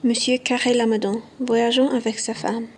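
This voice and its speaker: A woman playing a smug, respectable bourgeois gentleman in a radio play, with formal, measured manners. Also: fairly high pitch, little pitch movement, a little hiss or hum, natural voice. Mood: self-assured. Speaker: student actor. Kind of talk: radio play acting in French.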